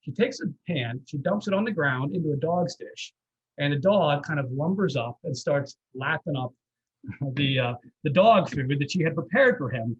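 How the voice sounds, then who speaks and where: A man's voice: a little high, expressive, normal speed, husky, lo-fi sound. A man giving a live cooking demonstration as guest chef, explaining step by step in a folksy, reassuring, conversational way.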